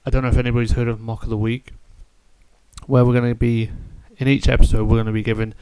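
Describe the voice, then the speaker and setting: A man's voice: mid-range voice, flat delivery, fast talker, dark tone, clear, resonant tone, no room sound, low-quality recording. A man in his late twenties hosting a gaming live stream, chatting in a rambling, hesitant, jokey way. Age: late 20s. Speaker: gaming channel host. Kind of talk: casual live-stream chat.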